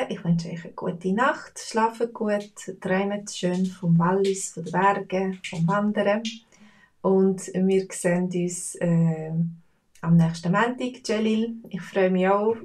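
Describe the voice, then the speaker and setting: A woman with a Swiss German accent teaching in a relaxed, chatty way, with frequent fillers. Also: mid-range voice, slow, recorded from a distance. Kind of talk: casual spoken lesson.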